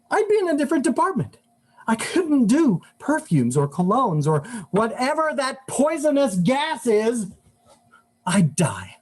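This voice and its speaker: A man's voice: high-pitched, a little mellow, heavily acted. A man performing a live monologue as an exasperated, overheated husband, complaining in a whining, dramatic, agitated way. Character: exasperated, overheated husband ranting about crowded shopping.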